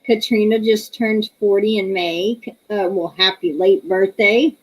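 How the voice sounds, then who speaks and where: A woman's voice: medium pitch, fairly even intonation, a little slow, rough recording. A woman, hosting a casual livestream, chatty and laughing often as she reads viewer comments aloud. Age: 30s. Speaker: livestream host.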